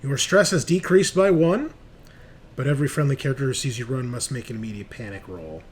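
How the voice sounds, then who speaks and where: A man acting as game master for a horror tabletop game, with a mid-pitched voice. He reads out rules and delivers dramatic narration, then drops into casual rulings. There is clear noise in the recording. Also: a little fast. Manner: swears casually.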